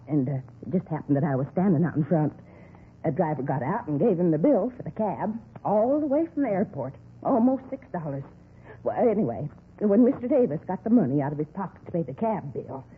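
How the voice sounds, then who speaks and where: A nosy, chatty older woman in a vintage radio drama, confiding and rambling. The voice is highish and swoops about, on a thin, noisy old recording. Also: very animated, a little fast, phone-quality sound. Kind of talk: 1950s radio acting.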